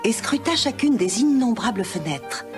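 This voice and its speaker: A woman narrating a children's animated fairy tale as a scripted storyteller. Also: fairly high pitch, moderate pace, music in the background.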